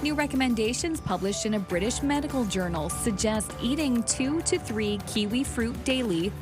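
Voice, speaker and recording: Female health reporter, higher voice, measured script over music bed. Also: animated. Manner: crisp, measured. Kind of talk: scripted news reading.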